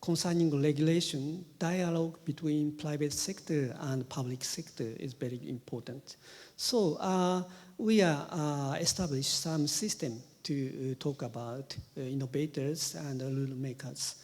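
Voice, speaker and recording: A man with a Japanese accent speaking English as a government official on a panel, halting, with many pauses. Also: fairly high pitch, a little mellow. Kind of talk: panel discussion. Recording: reverberant conference hall.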